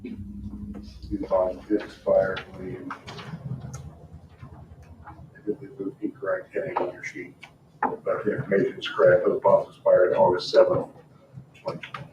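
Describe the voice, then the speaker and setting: A low-voiced American man presents a formal report with very little change in pitch. He is halting and matter-of-fact, heard from some distance in a reverberant room. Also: completely flat delivery. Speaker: development director presenting to council. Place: town council meeting room. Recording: roomy, distant, muffled, faint noise.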